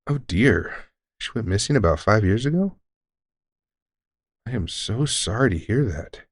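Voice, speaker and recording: A man playing a deranged centuries-old elf shopkeeper who is courteous, formal and unsettlingly calm, in an audio roleplay. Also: lowish voice, measured pace, no room sound, studio quality. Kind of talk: scripted audio roleplay addressing the listener.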